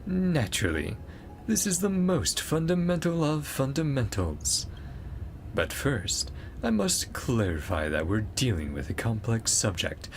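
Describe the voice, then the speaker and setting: A man's voice: medium pitch, sing-song, a little slow, a little hiss or hum. A man voicing a pedantic, imperious male professor from a game, formal and exacting as he lectures. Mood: dry, lecturing.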